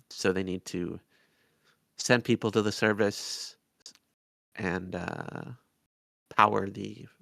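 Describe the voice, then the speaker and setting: A man's voice: on the low side, vocal fry, sharp tone, no room sound, studio quality. A man co-hosting a podcast in casual conversation, hesitant and often searching for words or trailing off. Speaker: podcast co-host. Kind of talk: casual review discussion of an audio drama.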